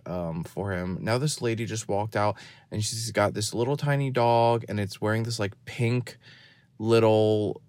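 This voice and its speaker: A man giving a rambling, deadpan and self-mocking running commentary from a parked car, recorded on his phone. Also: lowish voice, dry recording. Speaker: podcast host. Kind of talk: rambling off-the-cuff monologue. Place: parked car in a store parking lot.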